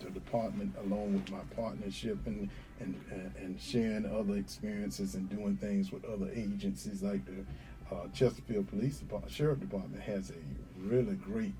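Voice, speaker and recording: A man's voice: lowish voice, mellow, a little hiss or hum, rough recording. A middle-aged man from North Carolina, a sheriff candidate answering interview questions earnestly with many fillers.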